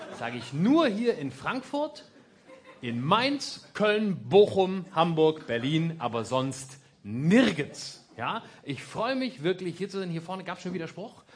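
A male stand-up comedian on stage, speaking with a slightly raised, very lively pitch. His delivery is sarcastic and teasing, with the audience laughing along in a live venue. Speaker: stand-up comedian hosting a show.

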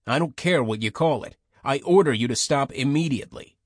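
A man's voice: mid-range voice, animated, a little fast, no room sound, clean studio sound, voice pushed up, natural voice. A man voicing a hot-tempered, authoritative head of military enforcers in a science fiction audiobook. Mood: angry, commanding. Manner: blunt, authoritative.

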